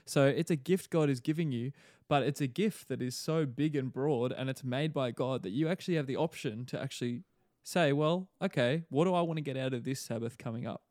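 A man's voice: medium pitch, brisk, few pauses, darkish tone, no echo, clean studio sound. A man with a mild Australian accent, a pastor speaking as a podcast guest in a thoughtful, earnest way, with many fillers and restarts. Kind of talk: casual podcast chat.

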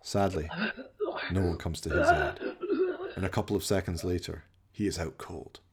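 A man's voice: low-pitched, fairly even intonation, normal speed, over a noisy crowd. A man narrating a comic horror radio play with theatrical, dramatic storytelling.

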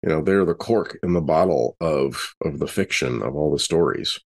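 A man with an extremely low voice chats as a player in a role-playing game. He explains his character's plans in a loose, conversational way, improvising as he goes. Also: normal speed, slightly rough.

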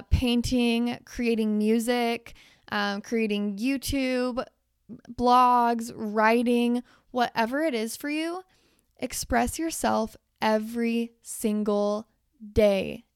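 A woman hosts a self-help podcast in a slow, unhurried way. Her voice is smooth and clear, sitting a little high, and the recording is dry and close. She encourages the listener and runs through lists of ideas with the odd filler. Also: dry recording. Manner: encouraging, list-like delivery with fillers. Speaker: podcast host.

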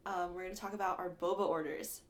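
A woman's voice: fairly low pitch, steady tone, fast. A young woman from Atlanta co-hosting a casual college radio podcast, upbeat and laughing often between prepared lines. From Atlanta, Georgia. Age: young adult. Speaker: podcast co-host.

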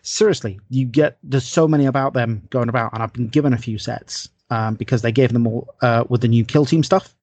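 A man co-hosting a podcast in casual hobby chat, speaking in a halting, rambling way and repeating his phrases. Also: medium pitch, a little mellow, no echo. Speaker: podcast co-host.

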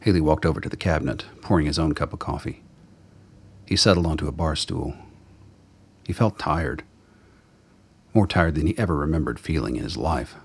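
A man's voice: normal speed, pauses often. A man delivering scripted narration for a radio drama as its storyteller. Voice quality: very deep, husky.

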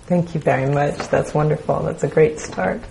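A woman's voice: low-pitched, steady tone, normal speed, creaky, dark tone, slight background noise, low-quality recording. A woman interviewing an author, admiring and eager, hesitating with many fillers as she builds her questions.

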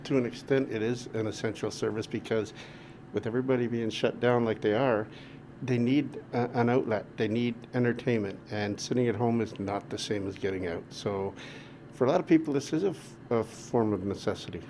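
A man with a middling pitch chats as a cinema staff interviewee. His casual talk sits over faint ambient noise. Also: fairly quick, a little mellow.

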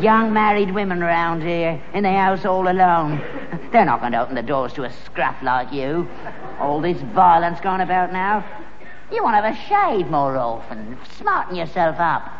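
A man's voice: fairly high pitch, in a large hall, lo-fi sound. An actor playing a grumpy old rag-and-bone man, nagging his son in a 1960s radio sitcom, on a hissy old broadcast. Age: older.

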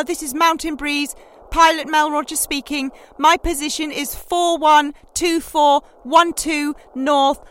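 Woman acting high-voiced desperate late-night radio DJ. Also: a bit bright. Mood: relieved, urgent. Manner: halting, repetitive, pleading. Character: desperate, lonely woman radio DJ, really a stranded pilot.

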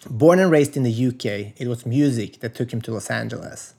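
A man's voice: medium pitch, fairly quick, creaky, darkish tone, slightly rough. A man from Sweden hosting a podcast interview, reading a guest introduction and asking questions. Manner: reads guest introduction, brief affirmations. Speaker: podcast host.

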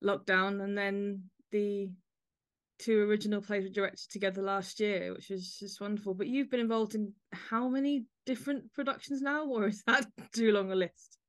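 A woman speaks as a podcast host. Her voice sits at an ordinary middle pitch. She addresses listeners and guest in a conversational interview style. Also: steady tone, moderate pace. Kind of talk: conversational interview with scripted introduction.

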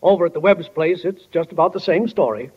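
An actor playing a wry, plainspoken small-town narrator in a 1940s radio drama. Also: male voice, fairly high pitch, a little fast, husky. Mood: folksy, wry. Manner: plainspoken, drawling folksy wording. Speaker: stage manager narrator. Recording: muffled old 1940 radio broadcast.

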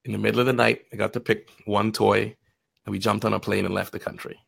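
A man telling stories casually in conversation, acting out bits of quoted dialogue in an animated manner. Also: on the low side, fast, gravelly, husky. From Guyana and Trinidad. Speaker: podcast guest, financial advisor.

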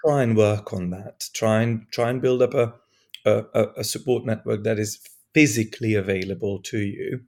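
A man from Europe, a guest on a podcast, speaking in a hesitant way with many restarts and fillers, his voice still hoarse from being ill. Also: on the low side, fairly even intonation, moderate pace, darkish tone. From Eastern Europe. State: hoarse, recovering from lost voice. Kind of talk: casual conversation.

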